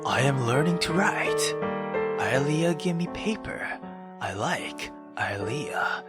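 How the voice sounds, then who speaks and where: Innocent child diarist in a visual novel, read by a woman: slow, quiet, halting delivery over music. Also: rumbling low, animated, pitched below the performer's own voice, heavily acted. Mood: innocent, subdued.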